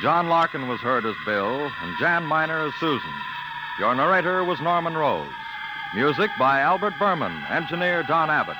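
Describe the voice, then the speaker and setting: A man's voice: a little high, big pitch swings, normal speed, very noisy. A man announcing a 1950s radio show in a scripted style. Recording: old radio broadcast with loud music.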